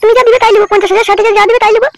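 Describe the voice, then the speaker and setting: A woman's voice: very high-pitched, steady tone, very fast, heavy background noise. A woman voicing a blunt, money-hungry but wary village mother in a comedy cartoon. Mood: eager. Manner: blunt, questioning.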